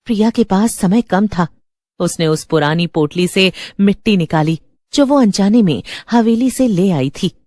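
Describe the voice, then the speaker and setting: A woman's voice: mid-range voice, expressive, a little slow, mellow, no room sound, studio quality. A woman narrator reading a scripted horror story in a dramatic storytelling style.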